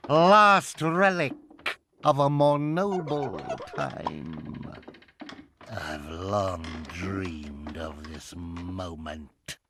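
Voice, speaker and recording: An actor playing a snobbish, tyrannical evil sorcerer in a video game, pompous and sardonic, drawing out the words. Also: male voice, sits low, animated, extremely slow, noisy background, full character voice. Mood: wistful, disdainful. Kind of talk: video game cutscene acting.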